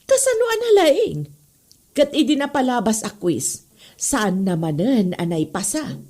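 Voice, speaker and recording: A woman with an Ilocano accent playing an exasperated, high-strung spinster schoolteacher in a comedy drama, dramatic and scolding. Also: sing-song, unhurried, a little mellow. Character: exasperated, high-blooded old-maid schoolteacher. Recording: music behind. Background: music.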